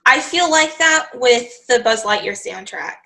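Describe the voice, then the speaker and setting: Young woman, nasal, a bit congested, bright tone, slightly high, rambling casual chat. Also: lively intonation, measured pace. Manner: rambling, self-interrupting. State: congested from allergies. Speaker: podcast co-host.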